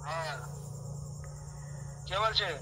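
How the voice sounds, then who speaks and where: A man's voice: sits high, slow pace, noisy background. A man in a casual phone conversation, questioning the other person insistently. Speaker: prank call recipient.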